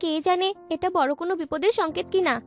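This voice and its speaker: A woman's voice: very high-pitched, fast talker, soft background music. A woman voicing a chatty, argumentative young village girl in a cartoon. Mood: debating. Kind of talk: cartoon dialogue.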